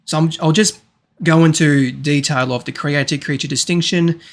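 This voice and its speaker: A man's voice: fairly high pitch, steady tone, moderate pace. A man arguing on a voice call, insisting on his points, repeating himself and stumbling over some words. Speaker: debater. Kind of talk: casual online debate.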